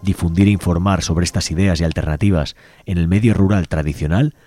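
A man presenting a community radio show, reading out a manifesto and describing a project in a measured, slightly halting way. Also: deep voice, warm tone. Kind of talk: community radio presentation, partly read aloud.

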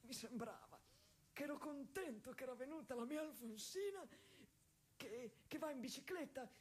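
A woman playing a gentle, wistful husband confined in an asylum, in an intimate stage monologue with halting, simple, repetitive, emotive phrasing. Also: sits high. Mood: longing, fragile.

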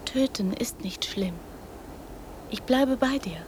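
A woman's voice: a little high, takes it slowly, a little mellow, a little hiss or hum. A woman playing a precocious, brazen young girl in a radio drama, speaking in blunt, childlike short sentences. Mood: calm, eerie.

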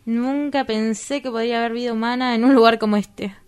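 A woman's voice: fairly high pitch, fairly even intonation, a little fast, darkish tone, a little hiss or hum, close to an everyday voice. A woman with an Argentine accent playing a frank, fussy, probing lover in a radio drama. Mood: dubious, fussy.

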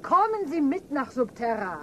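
A woman's voice: sits high, big pitch swings, moderate pace, slight background noise. An actress playing an earnest, reasonable envoy woman, polite and persuasive, in a German science fiction radio drama. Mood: earnest appeal.

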